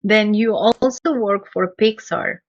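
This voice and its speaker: A woman hosting a podcast interview, speaking in an earnest, measured way with some hesitant pauses, in slightly dull lo-fi audio. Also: medium pitch, dry recording. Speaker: podcast host. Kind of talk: interview, reading guest bio.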